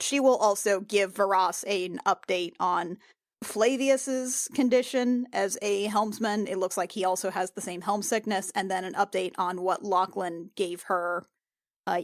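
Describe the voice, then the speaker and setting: A woman's voice: medium pitch, no echo, clean studio sound. A woman playing a tabletop game, talking through her character's plans with lots of hesitation. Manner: hesitant, many fillers while planning. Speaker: player.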